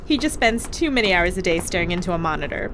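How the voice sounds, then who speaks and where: A woman playing a lively, crude crewwoman. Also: fairly low pitch, quick pace, slight background noise. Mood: casual. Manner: casual, swearing, laughing. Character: chatty, crude young crewwoman on a ship. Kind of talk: audio drama acting.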